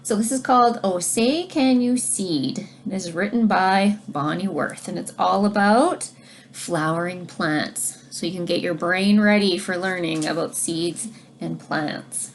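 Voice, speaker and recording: A woman teacher reading a picture book aloud to children, pausing to explain things in simple, child-directed language. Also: on the low side, slight background noise. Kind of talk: read-aloud lesson with explanatory asides.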